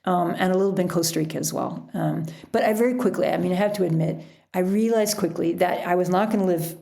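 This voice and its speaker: A middle-aged woman telling stories casually as an interview guest, in an animated, chatty way. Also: on the low side, fast, gravelly. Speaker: podcast guest, author and sailor.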